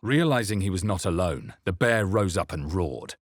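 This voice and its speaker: A man playing a wary, grave fantasy adventurer in a video game, with deliberate, theatrical delivery. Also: low-pitched, very animated, dry recording, studio quality. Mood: grave, dramatic. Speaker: video game player-character voice actor. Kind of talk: dramatic scripted game narration and voice lines.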